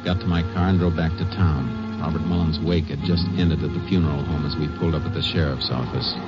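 An actor playing a terse, matter-of-fact, commanding Texas Ranger in a 1950s western radio drama. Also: male voice, rumbling low, fairly even intonation, a little fast, loud music behind the voice. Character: steady, commanding Texas Ranger, shrewd investigator. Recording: dull old radio recording.

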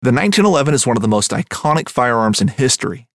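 A man's voice: medium pitch, sing-song, dry recording, studio quality. Polished male ad announcer. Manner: measured, polished delivery. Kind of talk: scripted ad read.

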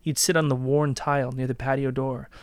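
A menacing, obsessive young man acted by a male reader in a dark audio drama. His voice sits at a medium pitch with very little room around it. He speaks deliberately and insistently, accusing like someone who has nursed a grudge for years. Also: level tone, clear, resonant tone, dry recording, lightly acted. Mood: cold, controlled. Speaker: voice actor in a dramatic reading. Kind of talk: dramatic reading of a dark podcast story.

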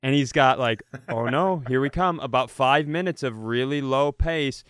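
A man's voice: mid-range voice, normal speed, fairly bright tone, smooth, dry recording, clean studio sound. A man co-hosting a comedy podcast, telling casual comic stories with profane, jokey banter.

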